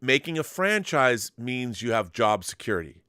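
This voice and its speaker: A man's voice: mid-range voice, sing-song, normal speed, bright, forceful tone, clear, resonant tone, no echo, clean studio sound. A man hosting a fan show, chatting casually and giving opinions in an emphatic, rambling, sweary way. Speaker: host of a film fan live show. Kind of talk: casual chat and opinionated commentary.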